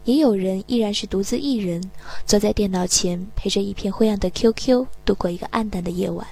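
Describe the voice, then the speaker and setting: A woman's voice: medium pitch, fairly quick, gravelly, warm tone, husky. A woman speaking Chinese, presenting scripted narration as a radio host. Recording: music playing behind the voice. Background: background music.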